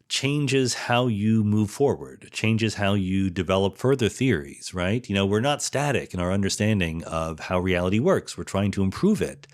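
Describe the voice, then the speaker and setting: A man giving an informal explanatory monologue as a podcast host, posing questions and answering them himself. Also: sits low. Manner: explanatory, asks and answers own rhetorical questions. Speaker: podcast host, theoretical physicist.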